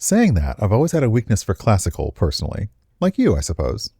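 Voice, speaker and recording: A man's voice: lowish voice, wildly swooping pitch, fast, creaky, dark tone, breathy edge, no room sound, studio quality, exaggerated voice. A man voicing a well-meaning but condescending, nostalgic older woman in visual novel dialogue, with a genteel, airy manner.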